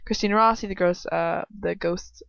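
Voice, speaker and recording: A woman's voice: fairly low pitch, fairly even intonation, normal speed, creaky. A woman doing an amateur read-aloud of fan fiction in a casual, irreverent way, stumbling and breaking into chatty asides. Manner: irreverent, giggly, swearing asides, stumbles while reading. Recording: muffled lo-fi home recording, roomy echo.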